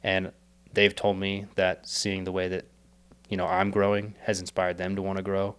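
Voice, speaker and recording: A young man talks earnestly in a low, smooth voice, wandering through his thoughts with fillers and restarts. His voice is a little worn, as if he is losing it. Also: monotone, moderate pace, fairly bright tone. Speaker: podcast guest, startup co-founder. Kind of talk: casual reflective interview talk.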